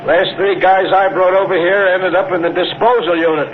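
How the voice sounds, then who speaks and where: A man's voice: sits high, flat delivery, brisk, natural voice. An actor playing a callous, mocking henchman with slangy tough-guy talk in a 1950s radio drama. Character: callous wisecracking henchman of a space crime boss. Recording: tinny, echoey, noisy old radio broadcast.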